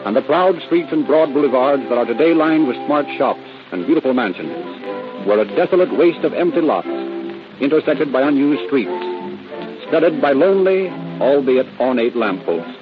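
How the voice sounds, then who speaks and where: A man with an American accent narrating a 1930s radio drama in a formal, dramatic announcer's style. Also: mid-range voice. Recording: tinny old radio broadcast. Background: music.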